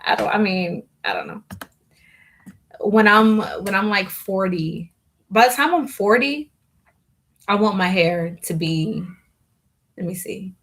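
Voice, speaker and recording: A woman's voice: mid-range voice, slow, long pauses, fairly bright tone. Chatty young woman rambling on a live stream. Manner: rambling, filler-heavy, chatty with viewers. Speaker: hair vlogger hosting a live Q&A. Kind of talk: casual live-stream chat.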